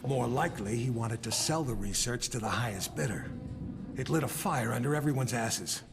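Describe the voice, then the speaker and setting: An actor playing a bitter, commanding older intelligence official in a video game cutscene, speaking with cynical authority and a short temper. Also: male voice, medium pitch, moderate pace, creaky, close to an everyday voice. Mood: grave, measured.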